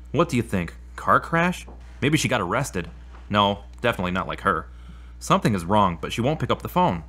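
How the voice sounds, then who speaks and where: Actor as a bright, low-pitched fretful man. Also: heavily acted. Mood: worried. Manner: plainspoken, fretful. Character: anxious, grumbling man waiting for a late friend. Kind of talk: audio drama acting.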